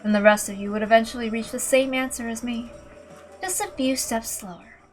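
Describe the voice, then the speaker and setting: A woman performs a cold, unconcerned woman killer from a visual novel. She speaks in a calm, mocking, smug way with a slightly high voice, over background music. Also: a little fast, close to an everyday voice. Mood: cold, reasoning. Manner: calm, smug, matter-of-fact. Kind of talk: dramatic visual novel character reading.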